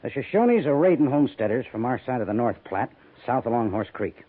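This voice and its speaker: Husky stern army major on old radio. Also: male voice, mid-range voice, very animated. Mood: stern briefing. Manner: measured, pointed, authoritative. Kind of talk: 1950s radio western acting. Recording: muffled, phone-like 1950s radio recording.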